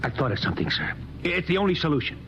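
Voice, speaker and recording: Excitable young space crewman, kinda high voice, swoops around, old radio show. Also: racing, a little hiss or hum, low-quality recording. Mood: earnest. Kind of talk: old-time radio drama acting. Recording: old radio broadcast, music behind.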